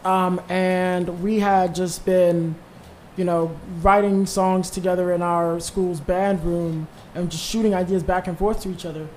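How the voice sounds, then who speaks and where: A man's voice: high voice, level tone, unhurried, darkish tone, slight background noise. A man in his twenties, a band leader, telling stories in a rambling, easygoing way with many fillers. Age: 20s. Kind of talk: casual interview chat.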